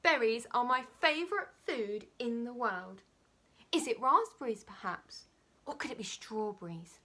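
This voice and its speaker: A woman's voice: a little high, animated, a little slow, pushed and bright, exaggerated voice. Woman as a cheerful, sing-song roly-poly bird. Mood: eager.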